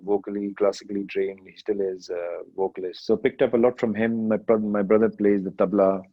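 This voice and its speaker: A middle-aged man from Kenya, a singer answering interview questions in a relaxed way, slipping Hindi phrases into his English. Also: on the low side, brisk, dry recording, highs cut off. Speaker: rapper and singer.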